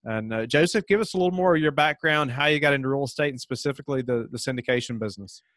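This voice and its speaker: A man's voice: mid-range voice, fast talker, dry recording, studio quality. A man in his thirties hosting an interview podcast, asking questions with lots of "you know". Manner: relaxed, many fillers like "you know". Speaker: podcast host. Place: home office.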